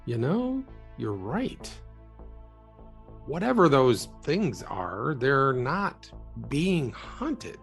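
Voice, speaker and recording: A man's voice: mid-range voice, sing-song, extremely slow, vocal fry, faint music behind, exaggerated voice. A man playing a weary, skeptical investigator with a casual, dry way of talking in an audio drama. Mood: curious.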